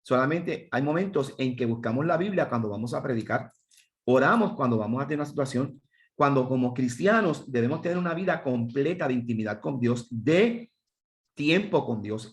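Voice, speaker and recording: An emphatic man with a Puerto Rican accent, running a training seminar for pastors. He counts off his points one by one and keeps turning questions back on the listeners, at a middling pitch. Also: expressive, brisk. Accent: Puerto Rican Spanish. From Puerto Rico. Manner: emphatic, lists points and asks the audience questions.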